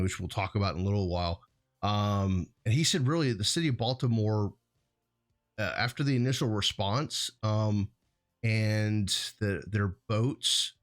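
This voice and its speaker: An American man hosting a show, talking in a casual, rambling, conversational way peppered with "you know". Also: fairly low pitch. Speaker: host of a hazmat show.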